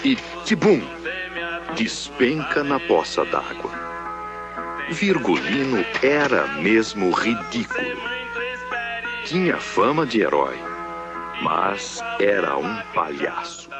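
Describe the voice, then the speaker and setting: A man's voice: lowish voice, big pitch swings, takes it slowly, long pauses, loud music behind the voice. A man narrating an old film in a theatrical, mocking storybook style. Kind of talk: mock-heroic storybook narration.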